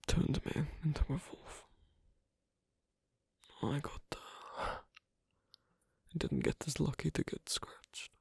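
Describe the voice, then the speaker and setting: A shy, asocial werewolf acted out by an ASMR performer speaks in very husky, breathy half-whispers. The delivery is slow and halting, intimate and hesitant, recorded dry and close. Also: medium pitch, darkish tone, no room sound, full character voice. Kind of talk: ASMR roleplay.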